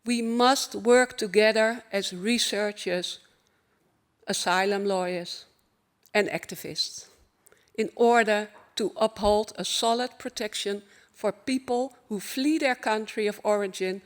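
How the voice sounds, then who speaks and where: A woman with a Dutch accent giving a formal opening keynote at a conference, earnest and measured, in short phrases. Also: medium pitch. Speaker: conference speaker.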